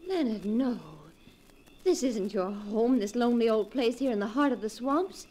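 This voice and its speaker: A woman's voice: fairly high pitch, lively intonation, normal speed, dark tone, lightly acted. A woman acting an anxious, frightened wife in a 1940s radio horror drama, hesitant and melodramatic. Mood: fearful, disbelieving.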